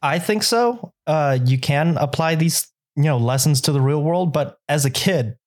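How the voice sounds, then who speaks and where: A young man from Southern California, born to a Vietnamese-speaking home, talking as a cinematographer guest in a relaxed, reflective interview. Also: medium pitch. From Southern California, USA. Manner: relaxed, reflective, uses many fillers. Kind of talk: casual interview conversation.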